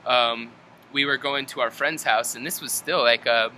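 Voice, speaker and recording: A man's voice: mid-range voice, fairly even intonation, moderate pace, pushed and bright, a little hiss or hum, poor audio. A man in his twenties, a band vocalist, chatting casually to fans in a laid-back, slangy way with some swearing. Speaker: metal band vocalist answering fan questions. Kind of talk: casual vlog chat. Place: indoors at home.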